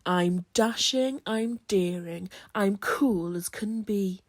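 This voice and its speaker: A woman's voice: medium pitch, takes it slowly, no echo, clean studio sound, close to an everyday voice. Woman putting on a pompous, boastful male scarecrow. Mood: boastful, scornful. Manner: pompous, showy. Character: boastful, pushy, dashing male scarecrow rival.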